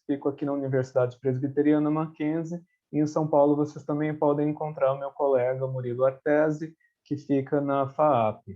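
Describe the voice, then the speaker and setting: A man with a Brazilian accent who advisees students, hosting and introducing speakers on a webinar in a friendly, halting way. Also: a little high, mellow. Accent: Brazilian Portuguese. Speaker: webinar host, education advisor. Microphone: video call. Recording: dull lo-fi webinar audio.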